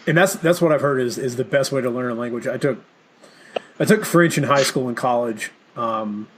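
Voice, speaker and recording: A man's voice: medium pitch, normal speed, slight background noise. A man from the Southern US hosting a podcast, chatty and self-deprecating in casual conversation. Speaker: podcast host.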